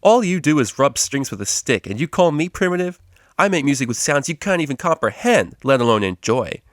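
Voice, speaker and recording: A man's voice: mid-range voice, big pitch swings, brisk, no room sound, pitched above the performer's own voice, lightly acted. Man acting out brash young female unicorn, storybook character, sarcastic, slangy, confrontational, dry recording. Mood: indignant.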